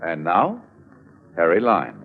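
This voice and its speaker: A man's voice: low voice, wildly swooping pitch, takes it slowly, vocal fry, narrow, tinny audio, over loud music, full character voice. An actor as a dramatic male announcer introducing a vintage radio drama.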